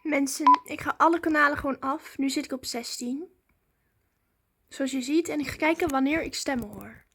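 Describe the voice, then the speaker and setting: A woman, chatting casually and excitedly to viewers as a vlogger. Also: high voice, moderate pace, slight background noise.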